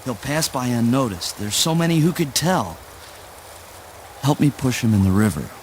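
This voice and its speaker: A man's voice: mid-range voice, very animated, moderate pace, background noise, close to an everyday voice. A man playing a frantic, defiant father in a spoken word play, with an urgent, dramatic delivery. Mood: panicked urgency. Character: frantic, defiant father hiding corpses in a river. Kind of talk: spoken word stage-style radio play acting.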